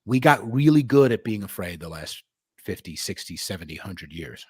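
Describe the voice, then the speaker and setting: A man's voice: fairly low pitch, very animated, normal speed, darkish tone, slightly rough, no echo. Blunt, profane man host, dry close sound. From New York. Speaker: podcast host. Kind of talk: casual chat.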